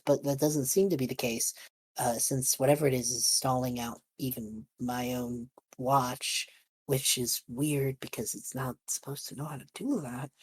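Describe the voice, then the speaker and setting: A woman's voice: extremely low pitch, a little mellow, husky. A woman playing a tabletop roleplaying game, narrating her character's actions in a casual, rambling, informal way. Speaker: player in a tabletop roleplaying podcast.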